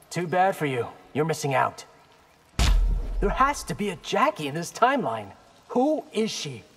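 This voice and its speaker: Cocky young game ninja, fairly high, lively pitch, reverberant, noisy. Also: male voice. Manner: casual, animated, confident. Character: cocky, loyal young male ninja fighter. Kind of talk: video game character acting. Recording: long reverb, clear background noise.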